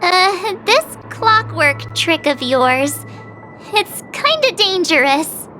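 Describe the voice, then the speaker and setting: A woman voicing a small, excitable and indignant mascot-like companion in an anime game, full of exclamations and questions. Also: very high voice, slow, exaggerated voice. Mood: uneasy. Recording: loud game music behind. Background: loud game music.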